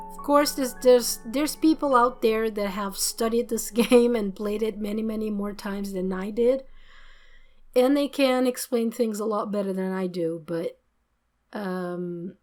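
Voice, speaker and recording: A woman hosting a let's play, chatting excitedly and loosely about a game, her voice a little worn from talking. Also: medium pitch. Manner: excited, rambling, many fillers. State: slightly losing her voice. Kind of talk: casual gameplay commentary. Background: faint game music.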